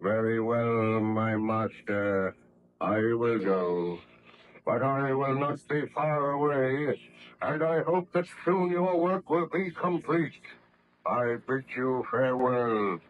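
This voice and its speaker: An actor playing a brooding, vengeful monster, speaking slowly and formally with menace in 1930s radio drama style. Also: male voice, medium pitch, natural voice. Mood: sinister calm. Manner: slow, menacing, deliberate, formal. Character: brooding, vengeful male monster, hideous murderous creation. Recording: dull lo-fi old radio recording.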